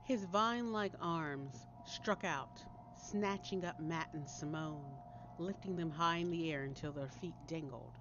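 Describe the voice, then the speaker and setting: Slow, low-voiced woman storyteller. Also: highs cut off, background noise. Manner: deliberate, theatrical, dropping to hushed tones. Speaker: author reading own story. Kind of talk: dramatic scripted storytelling narration. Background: soft background music.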